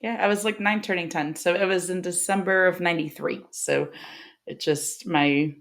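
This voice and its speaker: A woman's voice: on the low side, fairly even intonation, normal speed, vocal fry, a bit bright. A woman in her forties, originally from Brazil, chatting as a podcast guest and laughing often. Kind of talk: casual interview chat.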